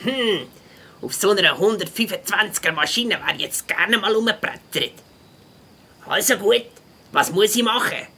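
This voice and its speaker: A woman playing a cheeky, stubborn young schoolboy hedgehog in a Swiss German children's audio drama, with a husky voice and cocky slang. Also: mid-range voice, lively intonation, moderate pace, pushed and bright. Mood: eager.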